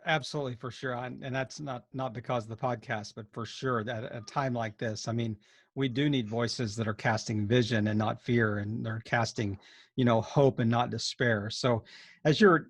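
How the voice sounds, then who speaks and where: A man's voice: medium pitch, no room sound. Guy hosting a podcast, medium voice, reflective, stops and restarts a lot. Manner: reflective, warm-hearted, with halting restarts. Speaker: podcast host. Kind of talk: casual interview chat.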